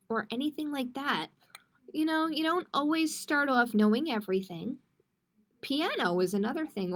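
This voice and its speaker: Slow-speaking woman hosting a children's storytime. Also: fairly high pitch. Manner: simple, encouraging explanations for young listeners. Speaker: library storytime host. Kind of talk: reading picture books aloud to children.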